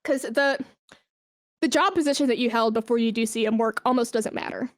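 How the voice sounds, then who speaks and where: A woman with a fairly high voice, recorded close and dry, speaks as a guest in a conversational interview. She gives career advice informally, with encouragement and plenty of emphasis. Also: fairly quick, no echo. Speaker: podcast guest, esports brand and community manager.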